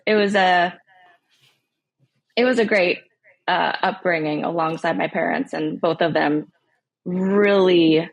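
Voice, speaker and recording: A woman in her thirties, from Southern California, talks as a guest on an interview podcast. Her voice sits a little low, and the sound is dry and close. She speaks warmly and thoughtfully, with frequent ums. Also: creaky, dry recording, poor audio. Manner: warm, reflective, many ums. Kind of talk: conversational interview.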